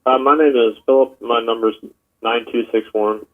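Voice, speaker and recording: A man's voice: medium pitch, fairly even intonation, moderate pace. A man answering calls as a complaint agent, giving brief, businesslike official replies over the telephone. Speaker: call center agent taking complaints. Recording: tinny, narrow telephone line.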